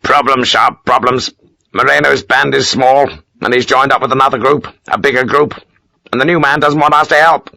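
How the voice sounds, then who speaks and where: A man voicing a gruff, pious older army major who talks in curt, self-important bursts. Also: mid-range voice, steady tone, normal speed, pushed and bright, dry recording, voice pushed up, low-quality recording. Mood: grumbling. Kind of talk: audiobook character voice.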